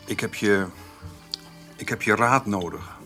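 A man playing a stubborn, homely farmer in a naturalistic radio drama, speaking haltingly and repeating his words. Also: on the low side, sharp tone, soft background music. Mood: hesitant, confiding. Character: stubborn, plain-spoken farmer, hesitant and homely.

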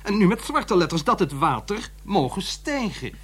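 An actor playing a nervous, fussy but indignant publisher in a radio drama, speaking formally with hesitant stammers. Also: male voice, sits high, very animated, moderate pace, a bit bright, breathy edge, full character voice. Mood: exasperated.

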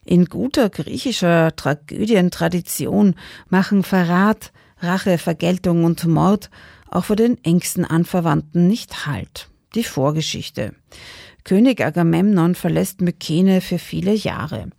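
A woman presents on radio from a script. Her voice is fairly low and very mellow, with noticeable creak at the edges. The sound is close and dry. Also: measured pace, no echo.

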